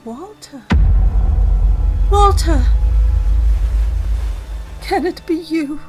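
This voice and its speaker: A woman's voice: very high voice, lively intonation, extremely slow, music in the background, exaggerated voice. An actress playing a grieving, devoted young woman who speaks earnestly and emotionally, in an old-time radio drama.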